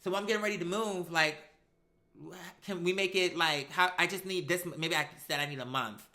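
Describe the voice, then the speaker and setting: Bright, sassy American live-stream storyteller. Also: mid-range voice, fairly quick. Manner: sassy, emphatic, slangy, acting out remembered exchanges.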